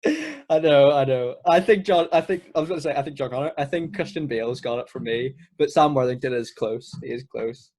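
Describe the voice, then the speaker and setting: A teenage guy co-hosting a laid-back film podcast in a mid-pitched voice. He is agreeable and matey, quick to be amused, and talks in a loose, casual chat style. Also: darkish tone. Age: teens. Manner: agreeable, matey, breaks easily into laughter.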